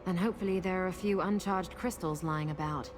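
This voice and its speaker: A woman's voice: on the low side, fairly even intonation, brisk, lightly acted. A woman voicing a practical, thoughtful adventuring companion in a video game, explaining things conversationally. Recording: loud music behind.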